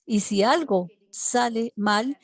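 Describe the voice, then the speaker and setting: Woman interpreting live, halting fillers. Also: fairly high pitch. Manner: steady delivery with frequent hesitation fillers. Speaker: simultaneous interpreter.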